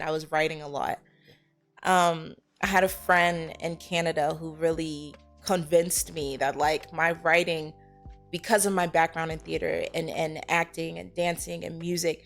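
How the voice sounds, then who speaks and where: A woman talking casually as an interview guest, telling her own story in a candid, animated, conversational way. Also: on the low side. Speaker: interview guest, actor and filmmaker.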